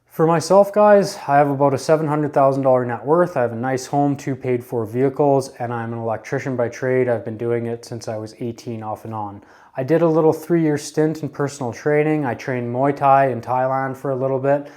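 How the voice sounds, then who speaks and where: Man in his thirties, mellow and warm, casual earnest advice talk, coaching tone. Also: medium pitch, fairly quick. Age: 30s. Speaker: advice vlogger.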